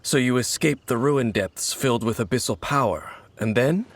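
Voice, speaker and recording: An actor playing a mysterious, grave wanderer who hunts the Abyss, speaking in a measured and cryptic way in video game voice acting. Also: male voice, mid-range voice, noisy background, lightly acted. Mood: solemn. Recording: game audio with loud music.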